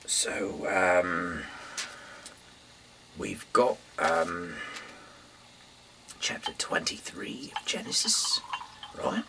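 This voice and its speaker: A man reading scripture aloud informally as a host, halting and rambling, stumbling over words and trailing off, with some noise in the recording. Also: low-pitched, vocal fry.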